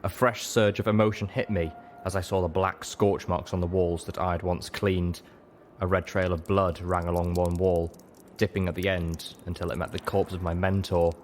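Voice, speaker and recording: A man reading a dramatic story as an audiobook narrator, measured, solemn and emotive. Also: low voice, level tone, slight background noise. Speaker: audiobook reader.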